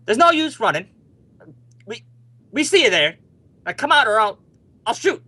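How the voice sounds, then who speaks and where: A man's voice: extremely high pitch, big pitch swings, normal speed, pushed and bright, full character voice. A male actor playing a brash, stubborn young husband in an old-time radio comedy, emphatic and blustering.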